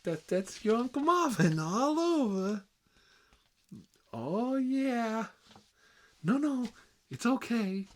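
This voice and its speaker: A man's voice: very high-pitched, big pitch swings, takes it slowly, long pauses, heavily acted. A man playing a put-upon, self-deprecating middle-aged uncle in a rambling, folksy ASMR comedy role-play. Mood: doting, flustered.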